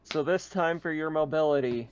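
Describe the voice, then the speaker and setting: A man's voice: fairly high pitch, moderate pace, highs cut off, a little hiss or hum. A man speaking casually as game master, narrating a tabletop roleplaying game. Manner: casual, conversational.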